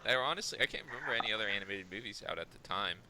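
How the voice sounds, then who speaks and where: A man co-hosting a podcast in casual discussion, halting and often correcting himself. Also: lowish voice, crowd noise. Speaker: podcast co-host.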